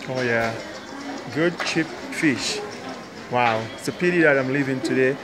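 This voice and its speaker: A man casually narrating his walk through a busy covered market, talking into a handheld camera in a relaxed, enthusiastic way and often trailing off mid-sentence. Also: medium pitch, measured pace, over music. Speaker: vlogger filming a walking tour. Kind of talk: casual handheld vlog chatter. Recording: loud crowd noise in a market. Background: loud market crowd.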